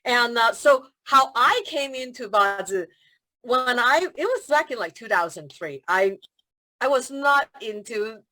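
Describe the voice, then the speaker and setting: A woman from Hong Kong, a feng shui consultant chatting as a guest over a video call, telling stories with animated quoted voices and frequent laughter. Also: fairly high pitch, a little slow, rough recording. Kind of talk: casual interview chat.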